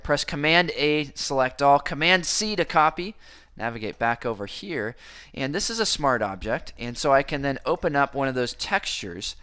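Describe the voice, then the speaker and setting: A man teaching a software tutorial who sounds enthusiastic as he talks through each click, and his voice is slightly hoarse from a cold. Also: mid-range voice. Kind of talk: screen-recorded software tutorial.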